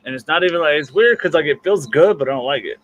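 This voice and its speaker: A man's voice: on the high side, animated, fast, fairly bright tone. A man hosting a casual livestream panel chat in an informal, teasing way. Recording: faint background noise on livestream audio.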